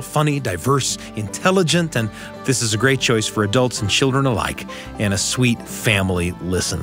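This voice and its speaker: An American man hosting a radio show in an expressive storytelling style, speaking directly to the listener with dramatic pauses. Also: medium pitch. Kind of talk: expressive scripted storytelling narration.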